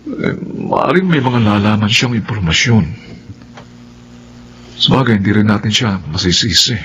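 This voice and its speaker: A man's voice: on the low side, expressive, normal speed, fairly bright tone, muffled recording, slight background noise. An actor playing an earnest young man in a radio drama, often stammering as he explains himself. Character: earnest young man, flustered then patient. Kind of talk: Filipino radio drama acting.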